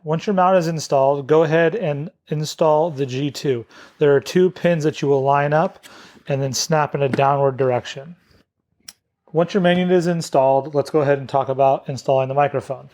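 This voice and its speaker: A man's voice: a little high, normal speed, a little mellow. A man narrating a step-by-step product installation tutorial as a demonstrator.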